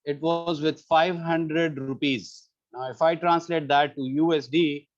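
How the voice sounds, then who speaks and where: A man from Pakistan in his thirties giving an earnest, hesitant webinar talk, full of pauses and "uh"s. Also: fairly high pitch, steady tone. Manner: hesitant, filler-heavy, earnest. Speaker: webinar speaker. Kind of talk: webinar presentation. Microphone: computer mic on a video call. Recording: muffled online webinar audio.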